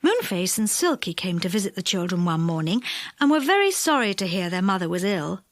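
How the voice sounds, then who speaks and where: A woman narrating a children's audiobook in an expressive, measured storytelling style. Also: medium pitch, no room sound, studio quality.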